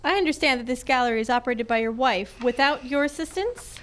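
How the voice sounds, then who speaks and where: A woman's voice: high-pitched, fairly bright tone. A woman acting a sassy, wry female private detective in an old-time radio detective drama. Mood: brisk, wry. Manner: wry, brisk, teasing. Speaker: amateur radio club performer.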